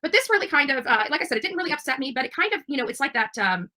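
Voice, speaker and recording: A woman's voice: high voice, very animated, rapid-fire, sharp tone. A woman hosting a channel, talking casually to her audience in a rambling, emphatic, defensive way. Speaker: livestream channel host. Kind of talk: casual livestream monologue to audience.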